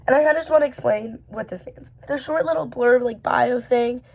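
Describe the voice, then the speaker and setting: A woman with a slightly high voice that is still hoarse after losing it talks to camera. She rambles and muses, tossing in fillers and questioning herself as she goes. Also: brisk, a little mellow. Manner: rambling and musing, many fillers and self-questions. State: hoarse, recovering from lost voice. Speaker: vlogger. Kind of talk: casual reflective talk to camera.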